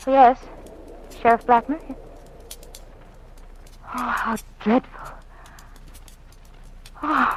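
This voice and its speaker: An actress playing an anxious, secretive politician's wife in an old radio drama, hesitant and genteel in her speech. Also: sits high. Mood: distraught. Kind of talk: 1940s radio drama acting, melodramatic. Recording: faintly noisy old radio recording.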